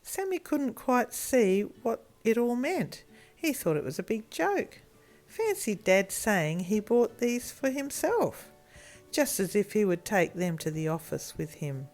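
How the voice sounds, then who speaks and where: A woman reading a children's story in an expressive storytelling manner. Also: medium pitch, faint music behind. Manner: animated, deliberate storytelling with acted character voices.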